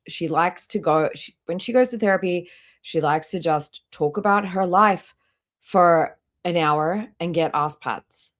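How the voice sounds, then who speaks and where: Low-voiced woman livestreamer, blunt commentary. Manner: blunt, swearing, critical. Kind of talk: casual reaction livestream commentary.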